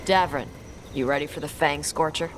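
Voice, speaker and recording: A woman's voice: deep voice, moderate pace, noisy background, natural voice. A woman playing a tough, wry young dragon hunter in a video game, speaking in clipped, blunt phrases. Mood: dry, focused. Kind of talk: video game character acting. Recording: background music under the voice.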